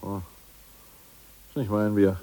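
An actor playing an ousted Politburo member who answers in an offhand, skeptical way. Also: male voice, lowish voice, little pitch movement, takes it slowly, mellow. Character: ousted male party official, doubtful and easygoing. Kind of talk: 1980s radio play acting.

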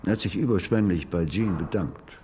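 An actor playing a proud, indignant older gentleman spiritualist in a radio drama, pompous and condescending. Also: deep voice, normal speed, long reverb tail, slight background noise, natural voice. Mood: patronizing. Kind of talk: German radio drama acting.

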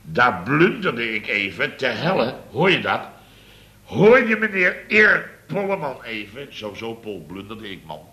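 Radio comedy: an exasperated company boss, very forceful bright voice, theatrical sarcasm, sputtering bluster. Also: male voice, mid-range voice, big pitch swings, unhurried. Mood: sarcastic. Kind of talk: radio comedy serial acting.

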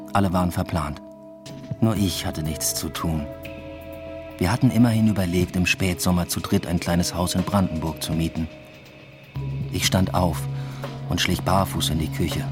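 A man playing an introspective, uneasy academic who narrates his own story in a measured, subdued radio drama style. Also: low voice, lightly acted. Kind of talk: literary first-person radio drama narration.

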